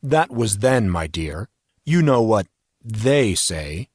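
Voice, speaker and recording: A man performs a smug, powerful businessman villain from an audio drama. The voice is heavy and husky, with a dismissive, condescending way of talking. It is recorded dry and close. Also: lowish voice, very animated, measured pace, dry recording.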